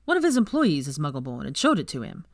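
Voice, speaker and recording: An amiable, slightly sheepish young man from a storybook reading, played by a woman. The voice is low and animated, earnest and easygoing, and recorded very dry. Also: fast talker, dry recording, exaggerated voice. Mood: reassuring.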